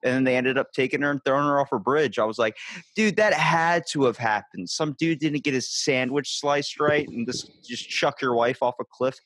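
A man's voice: mid-range voice, moderate pace, fairly bright tone, dry recording. A man hosting a podcast in casual chat, blunt and slangy, pressing his guest with questions. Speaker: podcast host.

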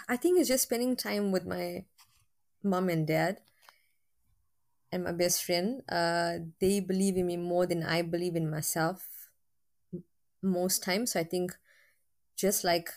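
Female lecturer guest, low voice, candid, lots of ums. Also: fairly even intonation, slow, pauses often. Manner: candid, with frequent fillers. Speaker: university lecturer with a PhD. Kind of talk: interview guest.

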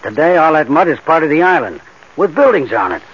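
A man's voice: on the high side, big pitch swings, a little fast, husky. A man acting a confident, scheming tough crook who gives instructions. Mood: confident, persuading. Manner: assured, instructing, tough-guy slangy. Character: Marty, confident scheming bank-job mastermind, tough crook. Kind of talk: 1940s radio crime drama acting. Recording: muffled old-time radio recording, background hiss.

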